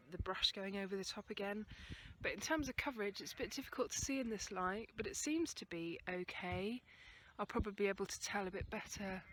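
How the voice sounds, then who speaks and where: Some ambient noise sits behind a woman with a mid-pitched voice. She talks casually to the camera like a beauty vlogger giving a review. Her delivery is chatty, informal and understated. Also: fairly bright tone.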